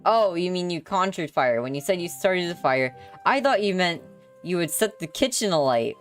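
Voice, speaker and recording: A woman's voice: lowish voice, animated, moderate pace, soft background music, voice pushed up, close to an everyday voice. A woman reading a visual novel aloud as a young witch who runs a bakery, patient and mildly exasperated. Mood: puzzled, chiding.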